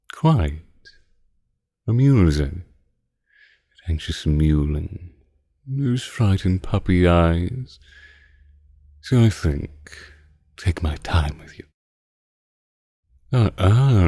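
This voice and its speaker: A man playing a seductive, possessive vampire in an intimate ASMR roleplay, teasing his captive with condescending tenderness in a slow purr. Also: extremely low pitch, warm tone, no room sound, studio quality, full character voice. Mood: amused, possessive.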